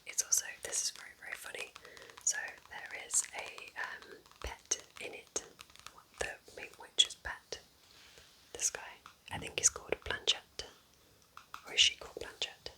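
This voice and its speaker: A woman whispering breathily and gently in an unhurried, chatty ASMR style, as a kind witch recommending books. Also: low-quality recording. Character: kind witch welcoming a visitor to her cabin. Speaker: ASMR roleplay host.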